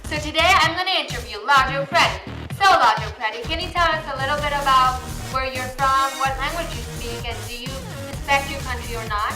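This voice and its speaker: Teen girl, interviewer, high voice, careful reading, roomy sound, music behind. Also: fairly quick. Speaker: student interviewer.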